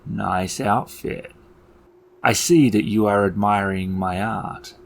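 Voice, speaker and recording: A man's voice: on the low side, fairly even intonation, gravelly. A man voicing a menacing, mocking elf clown villain in a theatrical, taunting way for a tabletop game. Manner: theatrical, slow taunting delivery with sudden outbursts.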